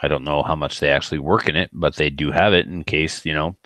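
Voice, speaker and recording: A man's voice: extremely low pitch, steady tone, fast, fairly bright tone, dry recording. A man hosting a podcast, asking questions and chatting casually. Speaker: podcast host, interviewer. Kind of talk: casual interview chat.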